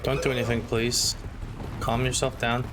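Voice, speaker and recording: A man's voice: medium pitch, little pitch movement, normal speed. A man doing casual, slangy, sweary gameplay commentary and chatting with his viewers. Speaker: video game livestreamer. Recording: clear game noise behind voice. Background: video game sound.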